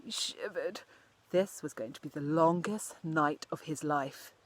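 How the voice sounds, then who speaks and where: A woman narrating a children's storybook as its author, with an animated, dramatic delivery. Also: low voice, a little slow. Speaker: author reading own children's book.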